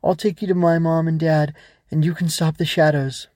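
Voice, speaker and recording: A scared, whiny little girl who is trying to be brave in a storybook tale, acted by a man. The voice sits high and pleads earnestly, in a very dry recording. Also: level tone, normal speed, darkish tone, no room sound, close to an everyday voice. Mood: determined. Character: Ava, scared young girl gathering her courage.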